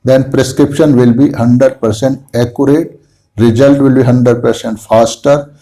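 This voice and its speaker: A man with an Indian accent, a native Hindi speaker, giving an earnest lecture on homeopathy to camera, slow and halting with restarts. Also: mid-range voice, fairly even intonation, warm tone, poor audio. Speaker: homeopathy teacher.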